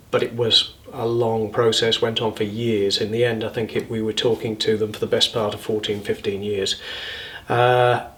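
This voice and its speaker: A mature man recalls events in an unscripted interview, explaining step by step with a halting delivery. His voice has a middling pitch and is recorded slightly away from the microphone. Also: far from the mic. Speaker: theatre committee interviewee.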